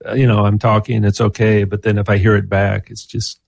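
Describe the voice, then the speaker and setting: Male guest, low gravelly voice, hesitant and filler-heavy, dry sound. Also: flat delivery, a little mellow, dry recording. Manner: halting, hesitant, lots of fillers. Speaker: guest musician and video maker. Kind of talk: casual chat. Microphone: headphones and home microphone.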